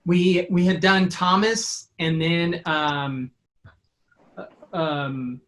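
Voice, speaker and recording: A middle-aged man telling an anecdote in an informal, halting way during a casual chat. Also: a little high, fairly even intonation, a bit bright. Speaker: sound designer being interviewed.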